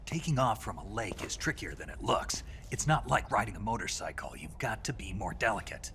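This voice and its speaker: Gruff, low-voiced stoic soldier. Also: male voice, very animated, brisk, a bit bright, slight background noise. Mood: sceptical. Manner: terse, guarded, serious. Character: gruff, stoic male soldier on covert mission. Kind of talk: cinematic video game acting.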